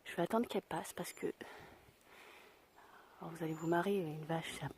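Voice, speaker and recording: A young woman talks to a handheld camera as she hikes a mountain trail, her voice hoarse from a cold and a little winded. Her tone is mellow and she thinks aloud with easy self-deprecation. Faint outdoor sound sits behind her. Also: lowish voice, steady tone, normal speed, a little hiss or hum. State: out of breath. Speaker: hiker vlogging a mountain trail. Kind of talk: casual spoken travel vlog.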